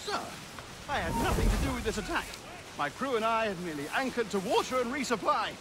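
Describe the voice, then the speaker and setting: An actor playing a timid, flustered merchant in a video game, fussy and over-polite. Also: male voice, very high voice, very animated, fast talker. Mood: nervous, pleading. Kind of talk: video game cutscene acting. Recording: noisy, hissy outdoor ambience behind.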